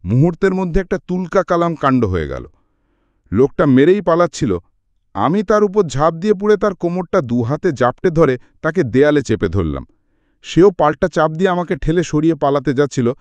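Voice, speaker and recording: A man giving an expressive scripted narration of a story as an audiobook reader. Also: on the high side, dry recording.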